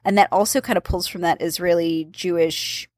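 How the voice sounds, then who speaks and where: A woman's voice: on the low side, fairly even intonation, normal speed, dry recording. Chatty woman, dry close sound. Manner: chatty, describes recipes step by step. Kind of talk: casual chat about cookbooks.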